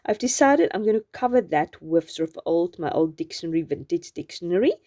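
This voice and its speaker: A South African woman whose first language is Afrikaans, chatting gently through a journaling craft voice-over. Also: on the low side, animated, brisk. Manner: gentle, chatty, meandering with restarts. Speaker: journaling channel host.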